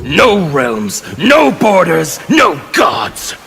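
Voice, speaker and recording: An actor playing a fanatical, defiant anarchist revolutionary in a video game, with a gravelly, sneering, theatrical delivery. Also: male voice, a little high, huge pitch swings, extremely slow, exaggerated voice. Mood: zealous defiance. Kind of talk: video game character dialogue. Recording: reverberant, game music behind.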